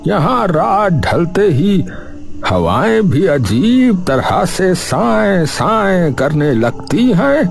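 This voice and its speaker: A man's voice: high-pitched, big pitch swings, extremely slow, gravelly, soft background music, heavily acted. A man voicing an old village elder who sternly warns young people about a haunted tree. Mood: cautioning.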